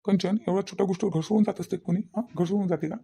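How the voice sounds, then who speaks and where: A woman's voice: medium pitch, steady tone, racing, dark tone, husky. A woman voicing a young husband in a cartoon family drama, firm and disbelieving. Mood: alarmed, pleading. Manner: firm, repeats denials. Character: mother-defending young husband, insistent and disbelieving. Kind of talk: Marathi cartoon family comedy-drama acting.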